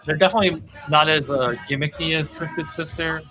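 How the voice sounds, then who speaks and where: A man's voice: on the high side, a little fast. A man hosting a podcast and talking casually about music. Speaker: podcast host.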